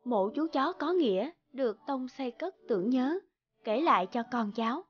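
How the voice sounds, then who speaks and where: A woman's voice: high voice, animated, normal speed, dull audio. A woman reading scripted storybook narration as the narrator of a folktale.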